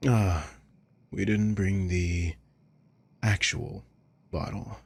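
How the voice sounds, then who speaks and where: Sadistic male villain acted by a man, ASMR roleplay. Deep voice, unhurried delivery, cool menace under mock care. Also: fairly even intonation, a little mellow, full character voice. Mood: exasperated, focused. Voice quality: breathy, husky. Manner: slow, deliberate, taunting. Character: sadistic male villain, coolly menacing, mockingly caring captor. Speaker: audio roleplay voice actor.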